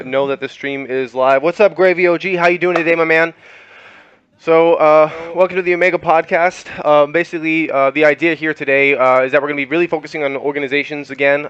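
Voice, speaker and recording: A man's voice: a little high, fairly bright tone, smooth, no echo, lo-fi sound. A young man hosting a gaming podcast, chatting loosely with many fillers and laughs. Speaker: podcast host. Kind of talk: casual podcast chat.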